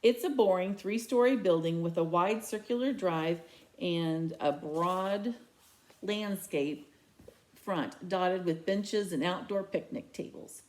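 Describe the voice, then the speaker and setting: A woman's voice: on the low side, slow pace, a little mellow, poor audio. A woman reading a storybook aloud as narration, telling the tale in the first person. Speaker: read-aloud reader.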